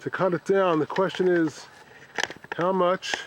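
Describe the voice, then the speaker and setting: Higher-voiced man in a noisy recording. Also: steady tone. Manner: halting, thinking aloud while working. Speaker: bonsai hobbyist host. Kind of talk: unscripted hands-on plant demonstration.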